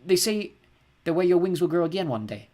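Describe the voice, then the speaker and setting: A man voicing a worried mother of a sick baby, shrill when upset and soft when tender. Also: animated, fairly quick.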